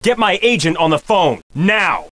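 A man's voice: high-pitched, sing-song, measured pace, shouting, very noisy. An actor playing a vain male soap opera star in a video game, theatrical and indignant. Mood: outraged.

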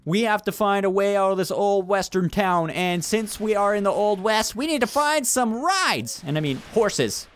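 A man hosting a gaming video, chatting excitedly with friends and urgently directing them. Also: sits high, moderate pace, few pauses, clear, resonant tone, faint music behind. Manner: animated, urgent, directing the others. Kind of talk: excited casual gameplay banter with friends.

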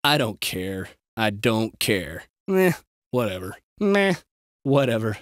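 A man's voice: fairly low pitch, slow, no echo, studio quality. An actor playing a snarky, crude, jumpy young male bystander in a video game, with a sarcastic, exaggerated delivery. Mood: snide, bored. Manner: sarcastic, exaggerated, swinging from flat to frantic.

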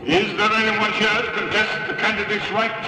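A man playing a solemn alien high official in an audio drama, proclaiming formally and deliberately as at an inauguration. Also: flat delivery, close to an everyday voice. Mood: solemn, ceremonial. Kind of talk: ceremonial audio drama acting. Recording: distant, echoing hall with long reverb.

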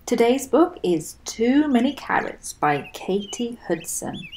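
Woman doing kids read aloud, lively pitch, takes her time, slight noise in background. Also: slow pace. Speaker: children's book reader. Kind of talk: expressive read-aloud storytelling for children.

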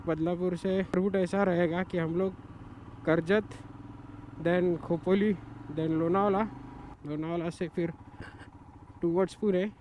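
High-voiced Indian motovlogger by the road. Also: male voice, fairly even intonation, slow pace, pauses often. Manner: mixes English time checks into casual Hindi. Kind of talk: casual travel vlog narration. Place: outdoors by the road on a motorcycle trip. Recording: noisy roadside recording.

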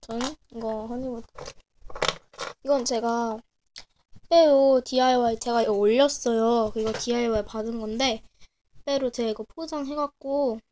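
A young woman with a cold, hoarse and clearing her throat often, chatting casually as she shows her handmade miniature crafts at a desk. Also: a little high, fairly even intonation, unhurried, pauses often, darkish tone, slight background noise. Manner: chatty, frequent throat clearing and self-corrections. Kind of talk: casual show-and-tell narration. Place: small indoor room at a desk. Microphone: camera over a desk, speaker off screen.

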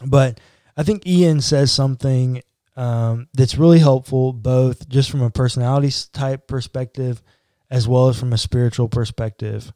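A young man, a pastor, talking on a podcast in a measured, earnest and reflective way. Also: mid-range voice, fairly even intonation, warm tone, dry recording, clean studio sound. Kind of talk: casual chat. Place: small home studio room. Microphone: boom-arm podcast mic.

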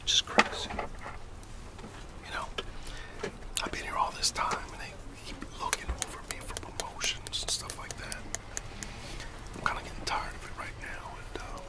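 A man's voice: moderate pace, pauses often, far from the mic, lightly acted. A middle-aged man playing a disgruntled, gossipy office phone worker in an ASMR roleplay, murmuring casually and conspiratorially. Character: disgruntled, gossipy, laid-back long-time office phone worker, male.

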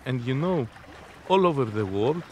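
Middle-aged man speaking haltingly outdoors. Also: mid-range voice, animated. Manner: halting, deliberate phrasing. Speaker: interviewee, innovation zone representative. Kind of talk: spoken interview, unscripted explanation. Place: outdoors on a sea beach. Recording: outdoor seaside background noise.

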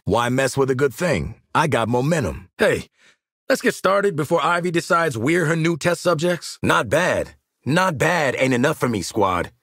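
An actor with an American accent playing a gruff, sardonic assassin in a video game, blunt and slangy, with a raspy voice. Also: male voice, medium pitch, a bit bright, dry recording, clean studio sound, full character voice. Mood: confident, dry. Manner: brash, blunt, street-smart slang, barking callouts. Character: gruff, no-nonsense male assassin, sardonic squad sharpshooter. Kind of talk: video game combat voice lines.

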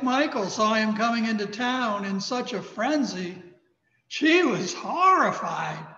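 High-pitched older American preacher in echoing hall. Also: male voice, a bit bright. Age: 60s. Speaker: pastor. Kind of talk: sermon. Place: large church sanctuary, indoors. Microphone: thin headset microphone. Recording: echoey church hall, slightly dull sound.

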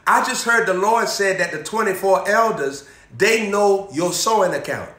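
High bright-voiced young preacher. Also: male voice, normal speed. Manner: animated, repetitive preaching with call-and-response questions, moving around. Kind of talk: energetic sermon. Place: indoor room in front of a banner backdrop. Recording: echoey room.

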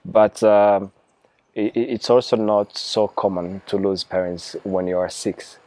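Young male guest, low warm voice, halting and reflective. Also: steady tone. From Rwanda. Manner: hesitant, many restarts, thoughtful. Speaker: guest, gym owner. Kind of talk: casual interview. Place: outdoor rooftop terrace.

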